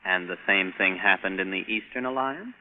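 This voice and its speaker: A man playing an agitated, insistent scientist in a 1950s radio drama, questioning in an emphatic, expressive way. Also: deep voice, moderate pace, phone-quality sound, slight background noise, natural voice. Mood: subdued, puzzled. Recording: thin vintage radio recording with music.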